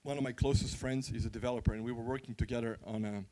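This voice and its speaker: Male conference speaker, Croatian accent, mid-pitched voice. Candid, informal, anecdotal delivery, conversational throughout. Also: steady tone, fast, mellow.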